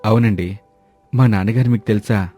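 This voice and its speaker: A man plays a humble, worried uncle in a moral story. His voice is lowish and very mellow, subdued and polite, over faint music. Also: a little fast, close to an everyday voice. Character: humble, worried poor uncle, proud and kindly.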